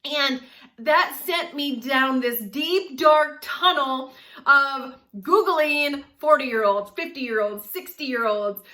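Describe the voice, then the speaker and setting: A woman of forty talking casually to camera in a chatty, animated way, laughing often. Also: high voice, slow. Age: 40s. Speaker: vlogger.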